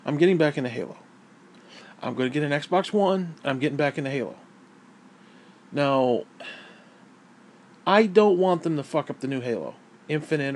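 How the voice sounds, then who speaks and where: Male gamer, raised pitch, hoarse and fading voice, profane heated rant. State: hoarse, losing his voice. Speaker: gaming vlogger. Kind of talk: angry opinion rant.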